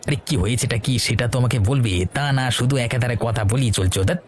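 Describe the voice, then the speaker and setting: A man's voice: mid-range voice, fast talker, close to an everyday voice. A man playing a proud, irritable rich shopkeeper in a cartoon story, talking in grumbling exclamations. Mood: irritated, scornful. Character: arrogant, irritable rich shopkeeper, a henpecked husband.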